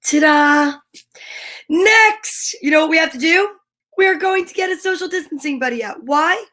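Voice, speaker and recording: A woman hosting an online story time, speaking in a playful, expressive way as if chatting casually with viewers. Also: very high voice, moderate pace. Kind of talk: casual livestream chat with short read-aloud passages.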